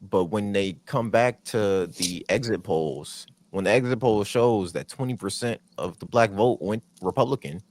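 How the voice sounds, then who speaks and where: A man's voice: lowish voice, normal speed, hardly any pauses, darkish tone, dry recording. A man co-hosting a podcast, talking bluntly with lots of slang in casual chat. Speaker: podcast co-host.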